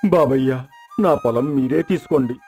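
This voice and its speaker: A man's voice: mid-range voice, moderate pace, darkish tone, faint music behind, lightly acted. A man voicing a wronged, cunning village farmer who pleads, in an acted moral story. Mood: feigned meekness.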